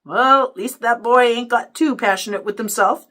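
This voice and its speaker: A woman's voice: a little high, moderate pace, fairly bright tone. A woman voicing an amused, easygoing father in his thirties who teases wryly, in an audiobook story. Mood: teasing.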